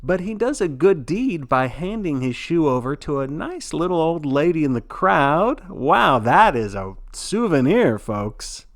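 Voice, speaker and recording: Guy narrating like a sportscaster, theatrical and wry, really lively pitch, dry sound. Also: a little slow, hardly any pauses, no room sound. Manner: theatrical broadcaster delivery with wry, folksy asides. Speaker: narrator playing a sports broadcaster. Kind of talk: play-by-play sports commentary, scripted storytelling.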